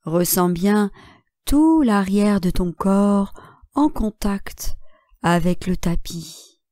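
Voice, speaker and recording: Female meditation guide, soft-edged mellow tone, dry close sound, measured and calm delivery of short relaxation cues. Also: mid-range voice, no echo. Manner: calm, measured, instructing in short phrases with long pauses. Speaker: yoga nidra instructor.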